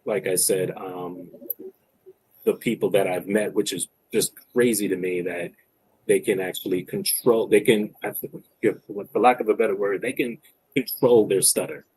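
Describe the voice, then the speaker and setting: Lower-voiced man who stutters. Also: moderate pace, a little mellow, a little hiss or hum, rough recording. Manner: person who stutters, with hesitations and repeated words. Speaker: guest speaker on stuttering. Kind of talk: casual conversational discussion.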